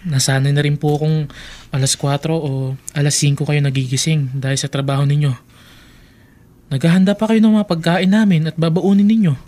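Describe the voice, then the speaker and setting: A man's voice: on the high side, steady tone, fairly quick, a little mellow, lightly acted. A man playing a dutiful eldest son who pleads earnestly with his father in an emotive radio drama. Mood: concerned.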